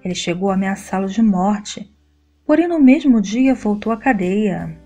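A woman narrating a scripted soap opera episode recap in a steady, even read-aloud delivery. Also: mid-range voice, normal speed, faint music behind.

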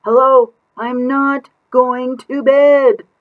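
Stubborn little girl, acted by a woman, defiant storybook child, high pitch, slow drawn-out emphasis. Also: little pitch movement, phone-quality sound. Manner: emphatic, drawn-out, sing-song.

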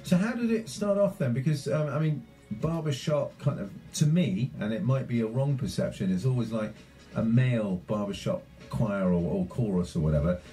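A man's voice: mid-range voice, expressive, normal speed, hardly any pauses, dark tone, faint music behind. A man hosting a community radio show, chatting casually and rambling with lots of fillers. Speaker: radio host.

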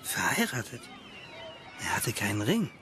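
An actor playing an earnest, persistent young scientist in naturalistic radio-play dialogue. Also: male voice, mid-range voice, very animated, a little slow, sharp tone, slight background noise. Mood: earnest, insistent.

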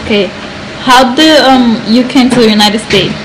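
A woman's voice: a little high, fairly even intonation, normal speed. A woman interviewer asking questions hesitantly, with fillers. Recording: street noise behind.

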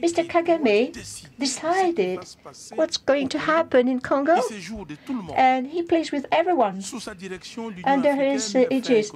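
A woman giving a live simultaneous interpretation of a conference speech, in halting, piecemeal phrases with repeated words. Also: high-pitched, expressive, darkish tone.

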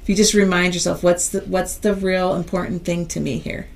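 A middle-aged woman co-hosting a podcast, chatting in a relaxed, agreeable way with frequent fillers and quick affirmations. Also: lowish voice, gravelly. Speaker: podcast co-host. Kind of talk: casual chat.

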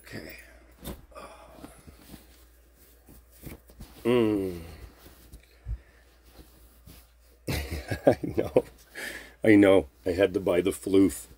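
An easygoing, affectionate boyfriend as a man acts him out for an ASMR roleplay. His voice is low and mellow, a little husky, and he talks softly and sleepily, as if very near. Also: dry recording, exaggerated voice. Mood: sleepy, affectionate. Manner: soft, drowsy, intimate, unhurried.

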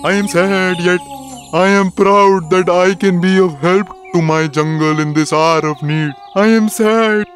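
A man's voice: high voice, fairly even intonation, measured pace, fairly bright tone, faint music behind. A man voicing a sorrowful, proud cartoon animal making melodramatic, solemn speeches. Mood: solemn, sad.

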